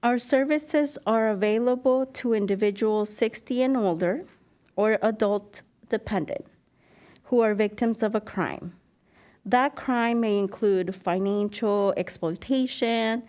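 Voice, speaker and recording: A woman getting over a cold, an elder abuse advocate giving an earnest prepared presentation at a podium mic in a council chamber, clearing her throat now and then. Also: a little high, a little mellow. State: recovering from a cold. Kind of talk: formal presentation to a commission.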